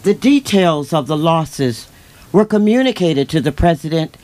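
A man giving a solemn, measured dramatic reading of literary prose at a live bookshop event. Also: a little high, expressive, darkish tone. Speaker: reader in a staged book reading. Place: bookshop event before a seated audience.

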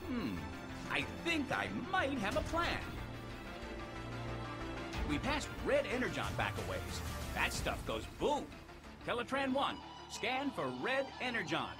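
An actor playing a small, cheeky, youthful robot scout in a cartoon-style video game, informal and wisecracking. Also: male voice, very high voice, wildly swooping pitch, slow, pauses often, exaggerated voice. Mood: confident. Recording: loud music behind voice.

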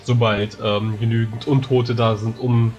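A man's voice: medium pitch, level tone, a little slow, darkish tone, dull audio, faint music behind. A man playing a tabletop game, proposing tactics while searching for words. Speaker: player. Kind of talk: casual strategy discussion.

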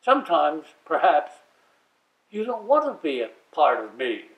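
An elderly man in his eighties reading poetry aloud with deliberate, expressive phrasing and pauses, seated in a room at home. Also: on the high side, phone-quality sound. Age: 80s. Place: small domestic room indoors, seated at a wooden table. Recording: roomy, echoing, slightly distant microphone.

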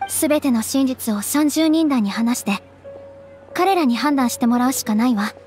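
Give me an earnest young female leader in a game. Her voice is high and measured, and faint music plays behind it. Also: expressive, darkish tone. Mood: resolute.

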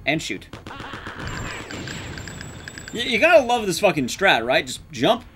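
Young adult man, higher voice, lively intonation, wry casual speedrun commentary, swearing, game music behind. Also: measured pace, husky. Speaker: streamer, speedrunner. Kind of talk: casual gameplay commentary to chat.

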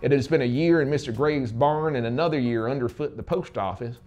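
A man's voice: medium pitch, brisk, darkish tone, highs cut off. A man in his thirties narrating a story dramatically as an audiobook, close to the mic. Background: music.

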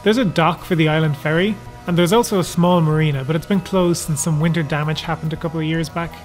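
Higher-voiced man narrating a vlog over music. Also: steady tone, quick pace. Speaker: sailing vlogger.

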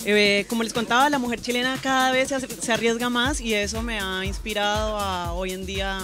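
A woman, a boutique owner interviewed on a live show, steady and pausing with "eh", recorded outdoors at night. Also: a little high. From Colombia. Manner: steady, with frequent "eh" pauses. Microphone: handheld microphone. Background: music.

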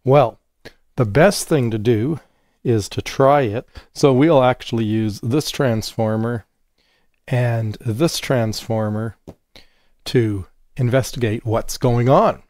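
A hoarse man in his fifties explaining a transformer experiment step by step while he works at his bench. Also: medium pitch, darkish tone, dry recording, studio quality. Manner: unhurried, explanatory, thinking aloud while working. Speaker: electronics educator. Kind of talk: hands-on technical demonstration. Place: home studio with monitors and workbench.

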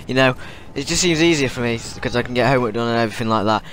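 Teen gamer with a cold. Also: male voice, medium pitch, rapid-fire, fairly bright tone, a little hiss or hum, low-quality recording. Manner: rambling, repeats himself, frequent restarts. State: has a cold. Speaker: gaming YouTuber. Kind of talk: casual vlog commentary over gameplay.

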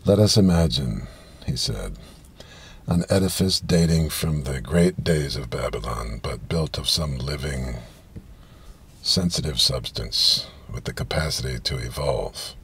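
Very deep, husky middle-aged man reading. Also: animated, measured pace, fairly bright tone. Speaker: reader. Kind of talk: literary science fiction reading. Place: inside a car.

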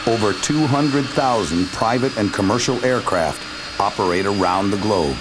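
A man's voice: lowish voice, animated, moderate pace. An American man reading scripted narration for a documentary. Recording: music and aircraft noise underneath. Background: music and aircraft sounds.

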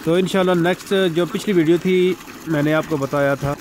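A man casually narrating a village vlog about his ducks, in a relaxed, chatty way. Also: fairly high pitch, a little fast, slight background noise. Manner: relaxed, chatty, says "ji" often. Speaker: vlogger. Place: outdoor village yard with ducks.

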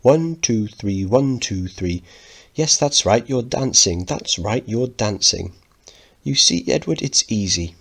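A man's voice: fairly low pitch, lively intonation, slow, fairly bright tone, breathy edge, pitched above the performer's own voice, exaggerated voice. A man voicing a doting, fussing upper-class mother in a coaxing, fluttery manner, in a dramatic play reading. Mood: encouraging.

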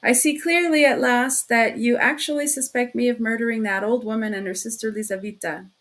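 A woman's voice: high-pitched, very animated, moderate pace, breathy edge, heavily acted. A woman voicing a proud, furious young male suspect in an audiobook, speaking distinctly and defiantly. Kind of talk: audiobook character acting.

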